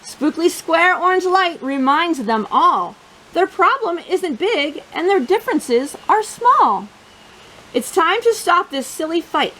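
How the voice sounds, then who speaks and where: Female children's storytime host. High voice with big melodic swings, expressive delivery pitched at little kids. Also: takes it slowly, rough recording. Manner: expressive, simple phrasing addressed to young children. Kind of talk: children's storybook reading and craft chat.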